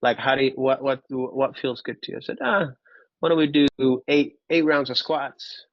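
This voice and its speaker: A man, telling his personal story in an interview, candid and halting, often repeating words. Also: mid-range voice, fairly even intonation, no echo, muffled recording. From Finland. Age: 30s. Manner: candid, casually profane. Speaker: podcast guest.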